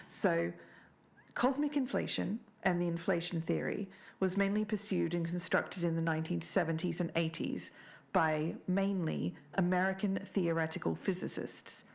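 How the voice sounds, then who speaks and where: A woman playing a podcast investigator, speaking in a measured, sceptical and deliberate way as she narrates and discusses. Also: fairly low pitch, vocal fry. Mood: measured, explanatory. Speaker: podcast co-host.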